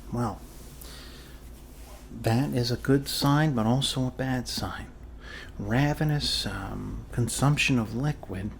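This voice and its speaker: A man doing an improvised ASMR role-play as a calm, quirky doctor, speaking haltingly and reassuringly to a patient. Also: mid-range voice, a little hiss or hum. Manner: halting, reassuring, trailing off with fillers and pauses. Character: calm, quirky male doctor treating a zombie bite.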